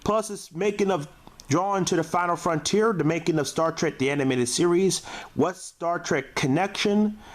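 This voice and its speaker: A man with a slightly high-pitched voice presents a homemade-style, off-the-cuff review. His delivery is halting, and he often trips over words and starts them again. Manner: halting, stumbling over words when reading aloud. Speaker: DVD reviewer, show host. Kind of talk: casual unscripted video review.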